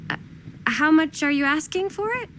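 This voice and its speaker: A woman's voice: high-pitched, expressive, moderate pace, a bit bright, a little hiss or hum. An actress playing a wary, polite young woman customer, casual and hesitant, in a naturalistic video game scene. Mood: hesitant, haggling.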